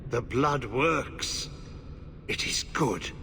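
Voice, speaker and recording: An actor playing a half-mad, blighted old warden in a video game, muttering in halting, repetitive fragments. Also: male voice, medium pitch, sharp tone, slight background noise. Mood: reverent. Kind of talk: dramatic video game acting.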